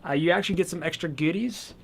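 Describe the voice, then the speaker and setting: Casual, rambling male vlogger, a touch hoarse, voice pitched a bit high. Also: fast, fairly bright tone, a little hiss or hum. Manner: chatty and rambling, with many fillers. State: slightly hoarse, losing his voice. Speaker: gaming channel host. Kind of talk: casual video game haul vlog.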